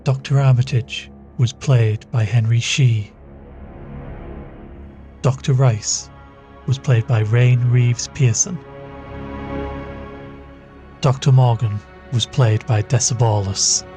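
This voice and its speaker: A man's voice: mid-range voice, steady tone, takes it slowly, pauses often. A man reading scripted credits as the host of an audio drama podcast. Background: music.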